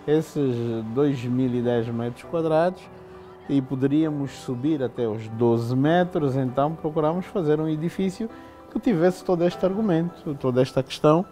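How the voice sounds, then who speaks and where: A middle-aged man from Angola explains a building design in an interview, slowly and haltingly with many fillers, seated in an indoor hall on a lapel mic. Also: fairly high pitch, warm tone, faint music behind.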